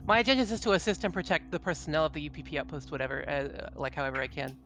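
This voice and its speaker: A woman's voice: sits low, expressive, racing, fairly bright tone, a little hiss or hum. A woman chatting casually and animatedly as a player in a tabletop roleplaying game.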